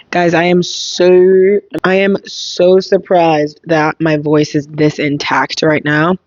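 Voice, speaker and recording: A young woman hosting a casual solo podcast, thinking aloud in a reflective way, her voice slightly hoarse. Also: low-pitched, little pitch movement, drawn-out words, pauses often, a little mellow, no room sound, muffled recording. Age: young adult. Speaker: podcast host.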